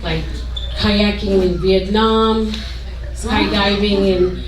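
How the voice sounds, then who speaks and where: A woman in her late forties from Sri Lanka performs a stand-up comedy routine with a halting delivery, leaving pauses after punchlines. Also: mid-range voice, steady tone. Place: indoor comedy club stage. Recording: roomy, distant mic, noisy club recording.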